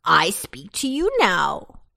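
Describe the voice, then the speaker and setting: A jolly pumpkin chief from a children's storybook, played by a woman. He wheedles and persuades with emphatic words, drawn out slowly in a very lively, up-and-down voice. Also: lowish voice, vocal fry, no echo, clean studio sound, heavily acted. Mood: friendly, commanding. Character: jolly, persuasive male pumpkin, leader of the vegetables.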